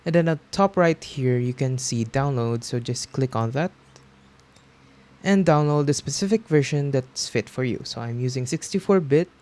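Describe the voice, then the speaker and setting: A man hosts a how-to tutorial in an everyday, mid-range voice. He talks casually, guiding the listener through software steps one at a time. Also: medium pitch, animated, normal speed. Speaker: tutorial host.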